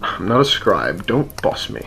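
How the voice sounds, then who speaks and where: A man acting a wry, world-weary, gruffly protective rebel in a fantasy radio play, with a teasing, matter-of-fact manner. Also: lowish voice, sing-song, unhurried, sharp tone, heavily acted. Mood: wry, guarded. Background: music under the voice.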